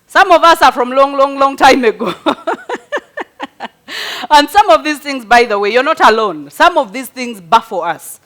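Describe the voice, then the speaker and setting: A middle-aged woman, not a native English speaker, giving conversational advice to a group in an animated, storytelling way with rhetorical questions. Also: high-pitched, moderate pace. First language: a local language, not English. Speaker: speaker answering parenting questions.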